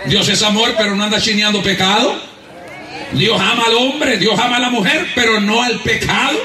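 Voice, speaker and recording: A man's voice: high-pitched, normal speed. A man with a Honduran accent preaching a fervent evangelical sermon, emphatic and often laughing as he calls out for praise and applause. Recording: echoey church hall miked from a distance.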